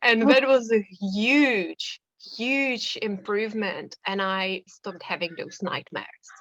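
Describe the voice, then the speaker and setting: A woman in her thirties with a Czech accent, a hypnotherapist telling her story in a casual interview with lively, laughing ease. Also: medium pitch, takes it slowly, no room sound, poor audio. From Czech Republic. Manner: chatty and animated, laughs often. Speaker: hypnotherapist guest.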